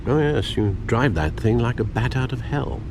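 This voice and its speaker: An actor playing an older British butler, formal and measured, with a caring, dryly witty way of gently admonishing in game dialogue. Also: male voice, mid-range voice, animated, background noise, natural voice. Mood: wry. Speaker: butler and confidant.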